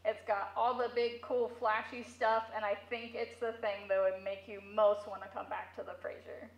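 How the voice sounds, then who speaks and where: A woman's voice: mid-range voice, little pitch movement, normal speed. A woman giving casual interview answers as a museum curator, heard through a megaphone in an echoey room.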